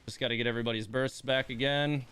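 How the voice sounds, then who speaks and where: A man doing casual live gameplay commentary, rambling and thinking out loud. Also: medium pitch, steady tone, fast, smooth. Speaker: live streamer.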